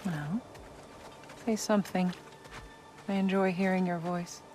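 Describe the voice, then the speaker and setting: A wise, loving wife, played by an actress, with a fairly low voice. She is calm, gentle and measured, lightly teasing, in an intimate video game scene with faint background noise. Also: natural voice. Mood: tender, teasing.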